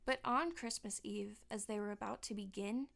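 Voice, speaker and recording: A woman narrating a storybook in rhyming verse with expressive, theatrical storytelling. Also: medium pitch, normal speed, gravelly.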